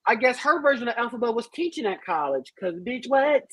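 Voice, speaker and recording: A woman's voice: on the high side, expressive, brisk, fairly bright tone, breathy edge, no room sound, low-quality recording. A woman chatting casually on a group podcast in a blunt, sassy, theatrical manner. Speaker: podcast panelist. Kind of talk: animated casual group chat.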